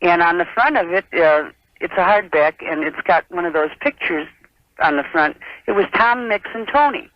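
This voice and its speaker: A woman's voice: on the low side, lively intonation, normal speed, no room sound. An American woman calling a radio show, chatting and rambling as she tells her story over the phone. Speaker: caller. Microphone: telephone. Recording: dull lo-fi telephone call on radio.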